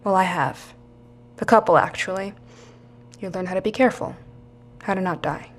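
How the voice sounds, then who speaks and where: A woman's voice: mid-range voice, moderate pace, natural voice. Woman acting blunt, tough, sardonic survivor. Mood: wry, hardened. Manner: blunt, dry, sarcastic. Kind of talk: audio drama acting.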